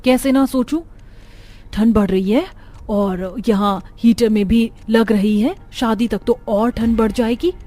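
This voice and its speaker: A woman's voice: fairly high pitch, fairly even intonation, a little slow, warm tone. A woman voicing a spoiled, bossy rich daughter in a Hindi moral-story cartoon. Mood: indignant. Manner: bossy, insistent. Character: spoiled, demanding rich young bride-to-be daughter.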